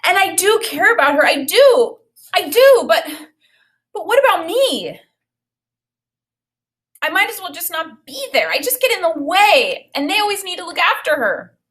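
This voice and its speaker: A woman's voice: very high voice, very animated, normal speed, long pauses, a bit bright, voice pushed up, full character voice. A woman voicing a frightened, sad young boy who feels neglected, speaking in a plaintive, whiny way for a children's story. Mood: upset, wailing.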